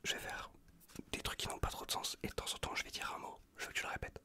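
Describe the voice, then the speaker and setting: Man in a hushed ASMR whisper. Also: fast talker. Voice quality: breathy unvoiced whisper. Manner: casual, colloquial, reassuring instructions with soft repetition. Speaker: ASMR performer playing an improvised examiner. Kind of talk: whispered ASMR medical exam roleplay. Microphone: close USB condenser microphone.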